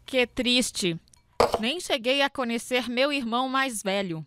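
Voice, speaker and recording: A sympathetic younger sister played by an actress in a radio drama. Her voice is on the high side, with clear clinking sounds around it. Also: measured pace, background noise, close to an everyday voice. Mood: sad, gentle.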